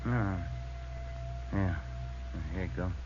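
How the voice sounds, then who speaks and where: An actor playing a steady police detective partner in a 1940s radio drama, speaking quietly and matter-of-factly. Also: male voice, low-pitched, takes it slowly, noisy background. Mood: weary. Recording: dull old radio broadcast.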